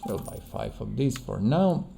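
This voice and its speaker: A man's voice: medium pitch, sing-song, a little slow, gravelly, warm tone, a little hiss or hum. A man who is not a native English speaker, giving casual game playthrough commentary in a hesitant way, searching for words and repeating himself. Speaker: gaming video host.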